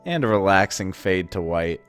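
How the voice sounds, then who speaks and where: A man's voice: sits low, animated, normal speed, a bit bright, clear, resonant tone, soft background music. A young man giving casual, wry commentary over game footage, amused and often laughing at the absurd moments. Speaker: gaming video host.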